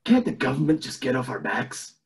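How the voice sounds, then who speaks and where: A man voicing a scheming, self-pitying old tycoon with theatrical, drawn-out delivery in an amateur cartoon table read. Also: medium pitch, very animated, close to an everyday voice. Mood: conspiratorial.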